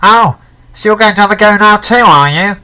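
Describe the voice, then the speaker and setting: A man voicing a grumpy talking potato with a loud, nasal voice in a storybook reading. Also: high-pitched, brisk, pitched above the performer's own voice, rough recording. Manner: loud, indignant and cranky.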